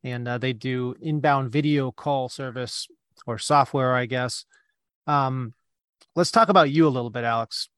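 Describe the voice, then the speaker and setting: A man's voice: mid-range voice, unhurried, fairly bright tone, smooth, dry recording, studio quality. A man hosting an interview podcast, loose and joking, with plenty of fillers as he sets up questions. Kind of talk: casual interview.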